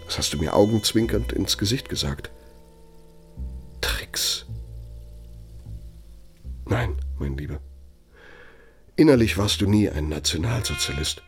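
An actor playing an older, proud and bitter architect in a radio play, self-justifying in a measured, self-righteous way. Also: male voice, on the low side, wildly swooping pitch, soft background music, heavily acted. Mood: reproachful, bitter. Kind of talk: naturalistic radio play monologue and dialogue.

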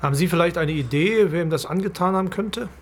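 An actor playing a matter-of-fact police detective in a naturalistic crime drama. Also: male voice, high voice, fast, slight background noise. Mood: careful questioning.

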